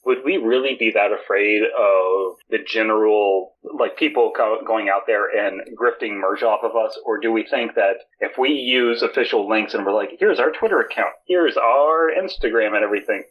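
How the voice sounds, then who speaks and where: A man co-hosting a podcast, chatting casually and thinking out loud. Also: fairly low pitch, moderate pace, few pauses, dull audio. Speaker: podcast co-host. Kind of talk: casual chat.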